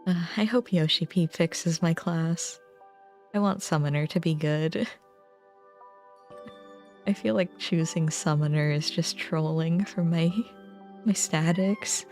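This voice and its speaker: A woman's voice: sits low, slow pace, pauses often, darkish tone, soft background music. A woman livestreamer chatting casually with viewers in a rambling, self-deprecating way. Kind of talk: casual gaming stream chat.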